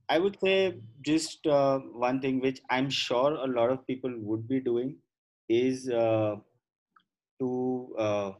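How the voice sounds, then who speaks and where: A man's voice: mid-range voice, low-quality recording. A man with an Indian accent giving thoughtful, hesitant answers as a guest in a casual interview. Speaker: podcast guest.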